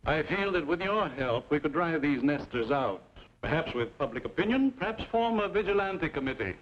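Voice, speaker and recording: An actor playing a powerful, scheming frontier banker in an old-time western radio drama, formal and self-important. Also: male voice, a little high, sing-song, moderate pace. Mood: coldly scheming. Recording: muffled, noisy old radio recording.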